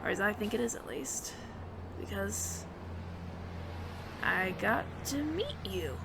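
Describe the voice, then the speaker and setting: A woman's voice: medium pitch, big pitch swings, gravelly, background noise, full character voice. A woman playing a flustered, smitten alien roommate who stammers nervously and earnestly in an intimate audio roleplay. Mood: tender, earnest. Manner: nervous stammering, halting, repeats words.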